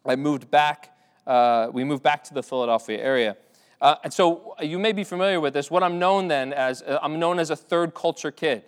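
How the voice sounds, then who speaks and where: A man's voice: on the high side, smooth. A man preaching a sermon to his congregation in a church, talking in a conversational way with many restarts and fillers. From Ireland. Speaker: pastor. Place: church sanctuary.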